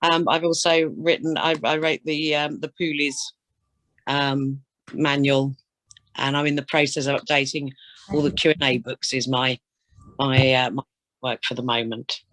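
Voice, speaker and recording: A woman's voice: rumbling low, level tone, moderate pace, fairly bright tone, no room sound, low-quality recording. British woman, dry sound, slight cold. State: getting over a cold. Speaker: air traffic controller, flying instructor and radio examiner. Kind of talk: casual self-introduction and chat.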